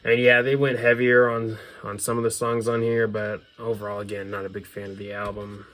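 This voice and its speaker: Low-voiced man talking casually over background noise. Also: flat delivery, brisk, fairly bright tone. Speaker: video host showing a music CD collection. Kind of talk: casual unscripted collection commentary.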